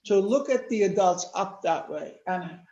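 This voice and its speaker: An older man from Turkey, speaking natively, giving a measured lecture-style talk with many pauses. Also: high-pitched, expressive, darkish tone, echoey room, muffled recording. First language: Turkish. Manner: measured, explanatory, with frequent "uh" pauses. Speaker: panel speaker. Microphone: video call.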